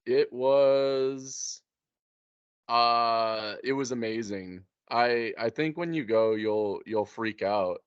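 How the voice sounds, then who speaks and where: A man in casual conversation, talking in a hesitant, halting way and often repeating words. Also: mid-range voice, clear, resonant tone. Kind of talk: casual chat. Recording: muffled, dull lo-fi sound.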